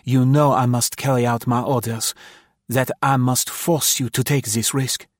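A man's voice: mid-range voice, fairly even intonation, measured pace, no room sound, clean studio sound, voice pushed up, natural voice. A man voicing an imperious, passionate young female spy, speaking with heightened emphasis.

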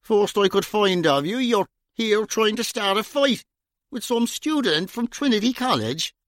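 A man doing Bunny, a loud, blunt bruiser. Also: high voice, animated, measured pace, no echo, studio quality, pitched above the performer's own voice. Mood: scolding. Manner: loud, sharp, cutting. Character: blunt, forceful Dublin man in his prime.

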